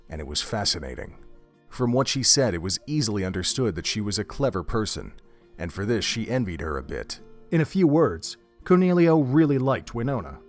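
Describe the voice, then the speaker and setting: Low-voiced male narrator. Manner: steady, descriptive storytelling. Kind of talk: scripted audio drama narration.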